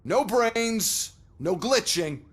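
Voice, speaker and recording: Arrogant exec villain in a game, really high voice for a man, snide, sarcastic, easily annoyed. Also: expressive, drawn-out words, husky, exaggerated voice. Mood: smug, mocking. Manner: sarcastic, condescending. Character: smug, sneering corporate executive, adult male, arrogant and irritable.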